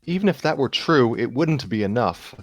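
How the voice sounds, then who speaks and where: A man's voice: mid-range voice, expressive, normal speed, dry recording, soft background music, pitched above the performer's own voice, lightly acted. A man voicing a downcast, self-doubting young woman student in a story reading, hesitant and wry. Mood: evasive, downcast.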